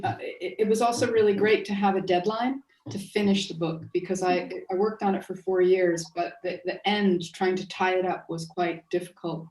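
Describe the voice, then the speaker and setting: A middle-aged American woman speaking off the cuff as a panel guest, in a halting, thoughtful way. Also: medium pitch, a little mellow. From United States. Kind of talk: unscripted panel talk. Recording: echoey, distant from the mic.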